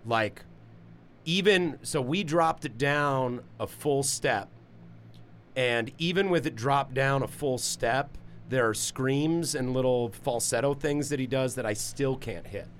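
A man with a deep voice hosting a podcast, rambling loosely about singing and music apps. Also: takes it slowly, slight background noise. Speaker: podcast host; singer. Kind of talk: casual chat.

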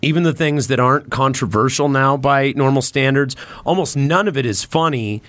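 A man's voice: medium pitch, normal speed, fairly bright tone, no room sound. A man talking casually on a podcast as a host, opinionated and emphatic in how he makes his points.

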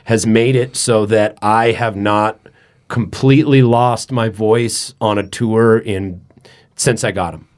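A man's voice: fairly low pitch, steady tone, takes it slowly, a little mellow. A man hosting a podcast in casual chat, talkative and joking, with frequent restarts. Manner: chatty, joking, many restarts.

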